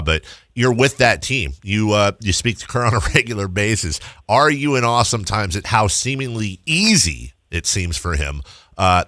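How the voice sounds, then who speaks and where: Deep, bright-voiced male sports radio host. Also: no echo, clean studio sound. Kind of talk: sports talk interview.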